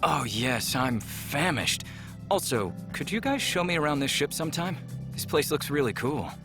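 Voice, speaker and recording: A man voicing a polite, curious, soft-spoken male alien passenger in a visual novel game. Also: a little high, animated, normal speed. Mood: friendly, curious. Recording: game music over the voice. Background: video game music.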